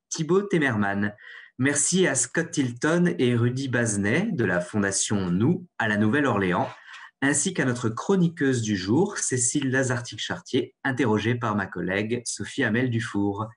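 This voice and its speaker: A man hosting a radio program, reading a formal, measured script to listeners. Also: on the low side. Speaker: radio show host.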